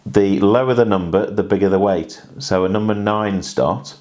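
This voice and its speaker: A man in his thirties, a fishing coach, showing how to tie a rig at a kitchen table in a chatty, halting way full of restarts and tag questions, on a lapel mic. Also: fairly low pitch, darkish tone. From England. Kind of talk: hands-on demonstration chat. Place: indoors, small home kitchen table.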